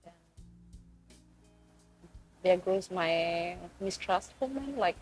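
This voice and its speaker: A woman's voice: fairly low pitch, expressive, slow pace, poor audio. Young woman telling her story over music. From Fiji. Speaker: podcast guest, postgraduate student. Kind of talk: personal account in an interview. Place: couch in a room.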